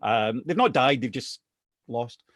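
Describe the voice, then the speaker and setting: A man's voice: mid-range voice, lively intonation, a little slow, a bit bright, dry recording. Chatty Scottish-born man telling a story. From Scotland. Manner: chatty, self-deprecating humour, restarts. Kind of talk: casual anecdote telling.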